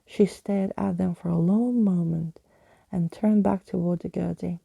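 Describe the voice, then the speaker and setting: A woman reading a storybook as soft ASMR narration, hushed, breathy and often whispering, close to the microphone. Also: lowish voice, fairly even intonation, unhurried, no echo, dull audio. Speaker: ASMR reader.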